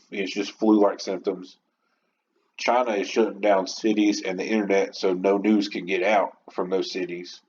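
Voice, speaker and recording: A man's voice: lowish voice, a little mellow. A man hosting a podcast, talking in an informal, passionate, rambling monologue without a script. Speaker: podcast host. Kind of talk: unscripted rant-style podcast monologue. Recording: dull lo-fi audio with clear background noise.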